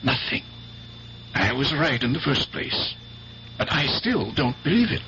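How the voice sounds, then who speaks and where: An actor playing an earnest, blunt doctor, grave and emphatic, in a 1970s radio drama. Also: male voice, medium pitch, big pitch swings, pushed and bright, a little hiss or hum. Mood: troubled, earnest. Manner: grave, emphatic, deliberate phrasing. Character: earnest, worried doctor, a man, blunt and insistent. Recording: dull, hummy old radio recording.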